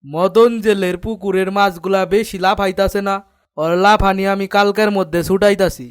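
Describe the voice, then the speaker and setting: Man playing a pompous high-voiced village headman. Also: fairly even intonation, normal speed, smooth, natural voice. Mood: vengeful. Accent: rural Bengali dialect. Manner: pompous, threatening. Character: arrogant, vengeful village headman plotting revenge.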